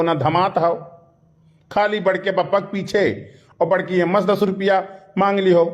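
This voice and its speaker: A man's voice: high voice, lo-fi sound, natural voice. A man voicing a preachy, freeloading village uncle in a cartoon comedy, bantering with an Awadhi accent. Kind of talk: comic cartoon dialogue.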